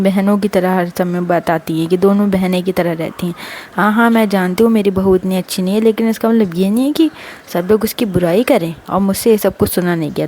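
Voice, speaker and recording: A woman's voice: medium pitch, warm tone, close to an everyday voice. A woman voicing a spiteful, defiant gossiping neighbour in a family story. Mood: angry, defiant. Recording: clear background noise.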